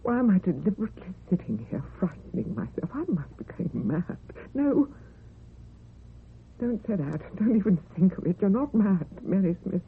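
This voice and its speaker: A woman's voice: lowish voice, sing-song, normal speed. An actress playing a lonely, frightened, ageing woman in a theatrical, tremulous old-time radio horror style. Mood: shaken, self-scolding. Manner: theatrical, tremulous, talking to herself. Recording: dull vintage radio recording, faint hiss.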